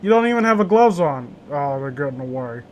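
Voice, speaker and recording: Higher-pitched man bantering, noisy recording. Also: very animated, fairly quick. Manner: crude, teasing banter. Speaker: host reading horror stories aloud with friends. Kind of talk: casual joking group read-along.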